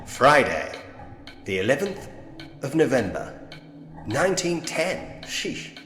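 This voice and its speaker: An actor playing a sceptical, dryly witty Edwardian gentleman investigator in a point-and-click mystery game. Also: mid-range voice, sing-song, slow pace, a little hiss or hum. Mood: wry musing. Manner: wry, muttering to himself, old-fashioned gentlemanly phrasing. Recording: echoey hall reverb, music underneath.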